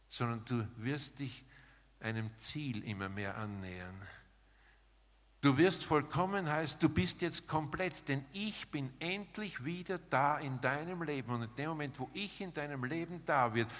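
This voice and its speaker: A man preaching a sermon in an emphatic, rhetorical manner, setting out short phrases with pauses between them. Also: fairly high pitch, fairly bright tone. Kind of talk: sermon with dramatized paraphrase, closing in prayer. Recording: long hall reverb.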